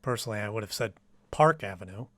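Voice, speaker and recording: An actor playing an anxious, lovestruck poet in a radio drama, earnest and dramatic, with stammered phrases. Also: male voice, fairly low pitch, animated, fast, a bit bright, no room sound, clean studio sound. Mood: dejected. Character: anxious lovestruck poet hiding in a department store. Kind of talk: radio drama acting with journal narration.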